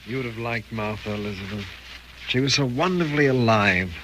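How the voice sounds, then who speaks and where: An American actor playing an earnest, grieving middle-aged widower reminiscing sorrowfully in a 1940s radio drama. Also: male voice, mid-range voice, fairly quick, close to an everyday voice. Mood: grieving, wistful. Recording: noisy vintage 1940s radio recording.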